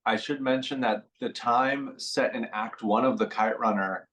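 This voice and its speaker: A man in his forties being interviewed on radio, talking reflectively with frequent pauses and fillers. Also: mid-range voice, steady tone, fairly bright tone, rough recording. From San Francisco Bay Area. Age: 40s. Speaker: interview guest, musician.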